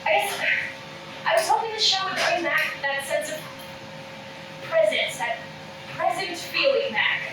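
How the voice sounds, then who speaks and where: A woman's voice: very high-pitched, animated, measured pace, pauses often. A woman at a comedy table read playing an earnest, prim, self-deprecating podcast host who scolds in exasperation. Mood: wistful. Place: indoor room at a table read. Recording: roomy echo, distant mic, background noise.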